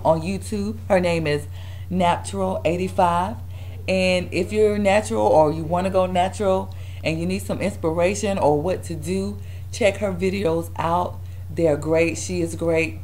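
A young woman, her voice hoarse and raspy from yelling, talks through a hair tutorial at an unhurried pace. Her voice sits a little low for a woman. She is recorded on a close webcam in a dining room, with a faint hum behind her. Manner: chatty, rambling, with fillers and restarts. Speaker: vlogger. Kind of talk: casual hair tutorial chat. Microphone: webcam at close range.

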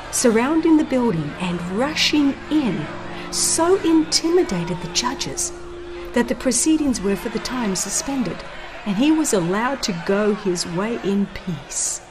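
A woman reading scripted dramatic audiobook narration in a formal, solemn and deliberate storytelling manner. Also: medium pitch. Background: background music.

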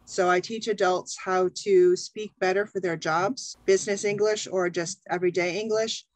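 A woman's voice: fairly low pitch, steady tone, normal speed, no room sound, poor audio. A woman with a Midwestern American accent, an English teacher chatting enthusiastically on a video call. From Chicago, USA. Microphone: video call webcam.

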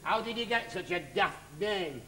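A sulky, bossy ugly stepsister in pantomime, played by a man, with catty remarks in a high voice. The theatre recording has clear background noise. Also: expressive, normal speed. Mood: bossy, scornful. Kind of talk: stage pantomime acting. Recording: noisy theatre stage video.

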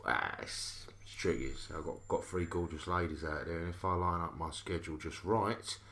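A cheeky Cockney salesman in an audio drama, with a low but bright, punchy voice. He is chatty and flirtatious, a rogue who cajoles and repeats himself as he goes. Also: normal speed. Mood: sly, confiding.

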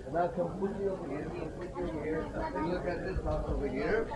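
A man's voice: fairly high pitch, brisk, low-quality recording. Tour guide man over crowd chatter. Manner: lively storyteller, asks group questions. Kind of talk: guided site tour explanation. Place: outdoor ruins terrace with a tour group. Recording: outdoor crowd chatter behind.

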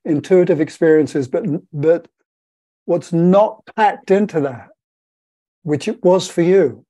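An older man interviewing on a video call from a room at home, speaking in eager, quick bursts with repeated words. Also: a little high, mellow. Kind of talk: conversational interview.

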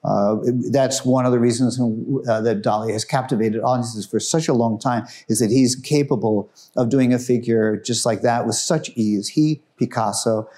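Man, museum guide, mellow voice, middle pitch, enthusiastic, conversational, indoor gallery. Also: moderate pace, few pauses. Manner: enthusiastic, conversational, drops his voice for solemn works. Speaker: museum guide presenting artworks. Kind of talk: informal gallery talk.

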